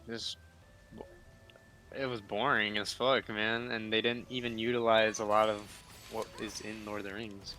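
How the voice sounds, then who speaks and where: A man's voice: fairly low pitch, level tone, a little slow, sharp tone. A young man chatting casually on a game livestream, blunt and swearing freely. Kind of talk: casual gaming chat.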